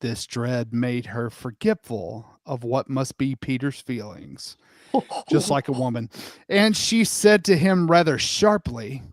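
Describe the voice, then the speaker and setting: Male comic narrator, theatrical and sweary, slow deliberate storytelling, close dry sound. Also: medium pitch, no room sound. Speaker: host and narrator. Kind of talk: comic dramatic storybook reading with asides.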